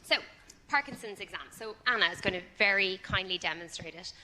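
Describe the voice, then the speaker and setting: Quick, chatty woman tutor. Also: mid-range voice, background noise. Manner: chatty, encouraging, directs the student. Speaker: clinical skills tutor. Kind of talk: hands-on teaching demo.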